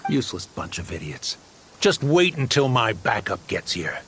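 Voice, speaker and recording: An actor playing a greedy smuggler posing as a philanthropist, boastful and wheedling, in a game cutscene over faint music. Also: male voice, medium pitch, sing-song, moderate pace, fairly bright tone, slight background noise. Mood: rattled.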